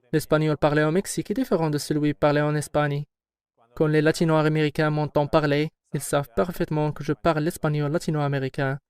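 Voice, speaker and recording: A man heading a company, giving formal, measured and assertive answers in an interview. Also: a little high, a little mellow, clear, resonant tone, no room sound. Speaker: company head answering questions.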